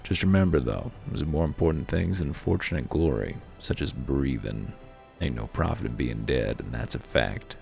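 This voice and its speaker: Very deep gravelly male peddler, acted by a man, fantasy game character, folksy, chatty, friendly. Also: expressive, moderate pace, a little mellow, exaggerated voice. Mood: advising, wry. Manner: folksy dialect, colloquial phrasing. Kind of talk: voiced reading of fantasy game dialogue. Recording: music behind the voice.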